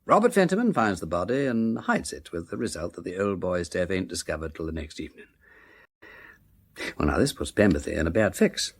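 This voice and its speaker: Posh english gentleman sleuth for radio drama, low voice that swoops about, breezy and flippant but kind. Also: big pitch swings, brisk, breathy edge, exaggerated voice. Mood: brisk, explanatory. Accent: upper-class English. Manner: breezy, drawling, old-fashioned aristocratic slang. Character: urbane, flippant, kindly gentleman amateur detective. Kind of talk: full-cast radio drama acting.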